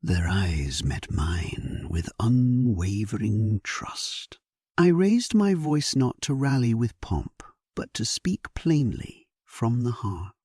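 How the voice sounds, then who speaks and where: A man playing a solemn, resolute English warrior king, narrating his battle in a measured, stately way for an audio drama. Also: medium pitch, sing-song, a little mellow, slightly rough, dry recording, clean studio sound, exaggerated voice. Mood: solemn, reflective. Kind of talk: dramatic scripted first-person narration, sleep story.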